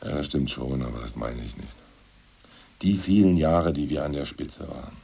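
An actor playing a brooding, ousted aging party official in a radio drama, who recites poems in a slow, solemn drone. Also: male voice, rumbling low, lively intonation, creaky, mellow, breathy edge, heavily acted. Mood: indignant. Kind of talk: 1980s radio drama acting.

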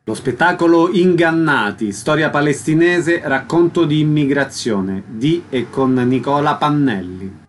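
Male radio host, slightly high voice, scripted announcing and interviewing. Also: lively intonation, unhurried, hardly any pauses.

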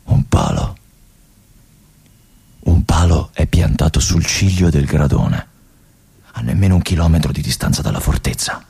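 A husky, wistful lieutenant. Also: male voice, very deep voice, heavily acted. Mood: wistful, reflective. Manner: reflective, with long pauses and soft whispered asides. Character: aging male army lieutenant, lonely, wistful, resigned. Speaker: stage actor. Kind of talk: theatrical monologue for radio.

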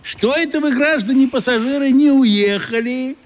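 An actor playing a folksy, unhurried rural railway local in a radio play, colloquial and genially teasing. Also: male voice, very high-pitched. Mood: wry, folksy.

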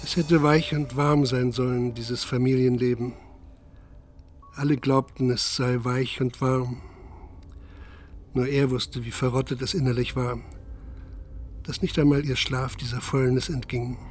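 An actor narrating a radio crime drama in a sombre, detached manner. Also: male voice, mid-range voice, level tone, measured pace, long pauses, a little mellow, faint music behind, close to an everyday voice. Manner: sober, detached. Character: sombre male third-person narrator of a crime story.

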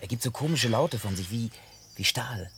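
An actor playing a gruff, wary, plain-spoken American country man in a naturalistic German radio play, with a blunt, colloquial, teasing manner. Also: medium pitch, expressive, normal speed, fairly bright tone, a little hiss or hum, natural voice. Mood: puzzled, prickly.

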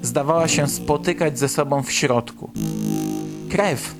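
A man's voice: on the high side, a little slow. A man reading a scripted horror story as the narrator of a radio drama. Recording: voice under loud music. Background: loud music.

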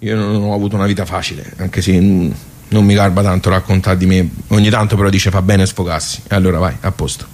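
A man's voice: sits low, level tone, fast talker, darkish tone. An actor playing a bitter, resigned 78-year-old working-class man with a Tuscan accent, rambling colloquially in a radio play. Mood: melancholy, resolute. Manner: rambling, colloquial, swearing, world-weary. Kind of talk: radio theatre monologue, colloquial.

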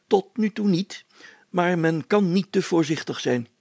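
A man's voice: high-pitched, unhurried, a little mellow, husky, dry recording, pitched above the performer's own voice, exaggerated voice. A man voicing a pompous, solemn, overcautious village mayor in a storybook reading, speaking in formal, stately phrases. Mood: pompous, grave.

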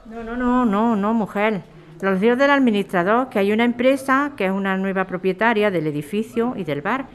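A woman's voice: medium pitch, moderate pace, smooth, close to an everyday voice. A woman playing a motherly, concerned bar keeper in a radio drama, colloquial and given to exclaiming.

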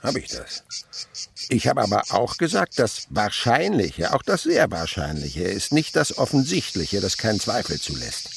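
A man's voice: fairly low pitch, big pitch swings, normal speed, vocal fry, noisy background. An actor playing an eccentric, curious insect researcher and father in a radio play, lecturing and musing theatrically.

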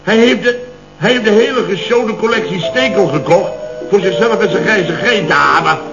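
An actor playing a blustering, middle-aged Dutch building boss in a radio comedy, indignantly spinning out long, exasperated stories. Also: male voice, high-pitched, moderate pace, vocal fry, loud music behind the voice. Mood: strained, deferential. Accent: Netherlands Dutch. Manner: indignant, long-winded storytelling with exasperated emphasis. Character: blustering, put-upon male building contractor boss recounting mishaps. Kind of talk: 1970s radio comedy acting. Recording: dull old radio recording.

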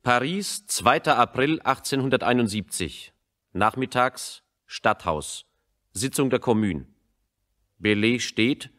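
A man's voice: mid-range voice, a bit bright, lightly acted. A man narrating a historical radio drama in a sober, matter-of-fact, report-like way. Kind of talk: scripted radio play scene narration.

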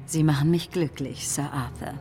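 An actress playing a commanding, mysterious spiritualist medium in a German radio drama, moving between crisp orders and whispers. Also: female voice, sits low, moderate pace, mellow, soft background music. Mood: pleased. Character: commanding, theatrical female spiritualist medium holding a séance.

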